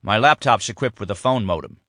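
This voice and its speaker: A man's voice: fairly low pitch, lively intonation, fairly quick, fairly bright tone, dry recording, studio quality. A man voicing a worried older father.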